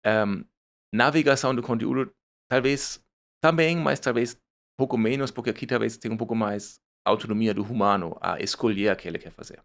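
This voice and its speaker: A man's voice: lowish voice, fairly quick. A man with a German accent, a startup founder speaking as a guest, searching for words and restarting his phrases. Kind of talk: conversational interview.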